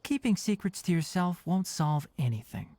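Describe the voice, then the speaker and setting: A voice actor playing a calm, brisk young male forest ranger and healer who is caring but firm. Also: sits high, slight background noise. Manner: brisk, level-headed and caring. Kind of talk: video game voice acting.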